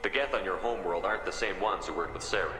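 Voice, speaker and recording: A very low-voiced, level-headed military hero acted by a man in a video game. He talks briskly, calm and direct, with echo around the voice and music behind. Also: close to an everyday voice. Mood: firm, composed. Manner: direct, diplomatic. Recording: echoey hall, music behind.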